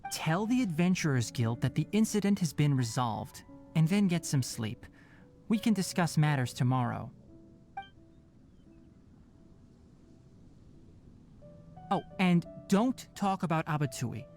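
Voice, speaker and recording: A man playing a calm, scholarly young forest ranger in a game, who explains things in a measured way and gives gentle but firm instructions. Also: a little high. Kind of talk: game cutscene dialogue. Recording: game audio with faint background music.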